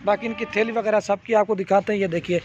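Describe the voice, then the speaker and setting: A man's voice: sits high, fairly even intonation. A man with a Pakistani Urdu accent gives a casual sales walkthrough of his goat farm, repeating himself and often checking "theek hai". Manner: repetitive, salesy, keeps saying "theek hai". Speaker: goat farm seller showing animals. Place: outdoor farmyard beside a garden. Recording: slightly muffled phone audio, faint outdoor ambience.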